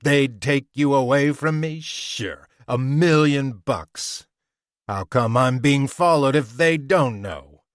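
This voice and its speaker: A man voicing a greedy, scheming rough laborer who talks in slangy, coaxing threats. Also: medium pitch, a bit bright, dry recording, clean studio sound.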